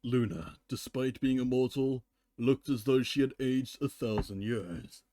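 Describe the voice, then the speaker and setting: A man with a slow delivery and a dry, close sound reads fan fiction on a stream. He mixes storybook narration with joking, sweary casual asides. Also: medium pitch, warm tone, no room sound. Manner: profane, joking. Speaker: fan fiction reader on a livestream.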